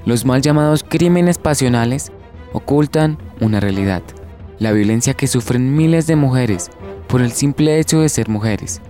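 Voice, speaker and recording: Mellow Colombian man narrating. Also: mid-range voice, expressive, normal speed. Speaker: radio narrator. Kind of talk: scripted informative narration. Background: faint music.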